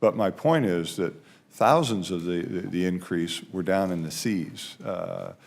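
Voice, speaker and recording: A man's voice: low-pitched, unhurried, creaky. A man testifying as an aviation official at a hearing, explaining things in an emphatic way. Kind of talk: spoken testimony.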